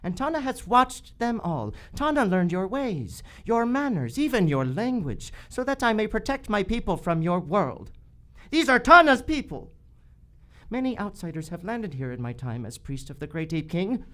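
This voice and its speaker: A woman's voice: medium pitch, very animated, normal speed, a bit bright. A woman playing a solemn, menacing jungle priest in a radio adventure, speaking in formal, grandiose phrases. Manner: formal, grandiose, refers to self in third person. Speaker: actor in live radio theater. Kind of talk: melodramatic radio adventure acting. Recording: live stage recording with faint music.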